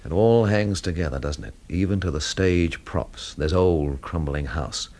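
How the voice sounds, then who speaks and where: A young investigator at the centre of a radio mystery. His voice is low and measured, with a cool, dry, sardonic edge in both narration and dialogue. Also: darkish tone. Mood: cool, probing. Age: young adult.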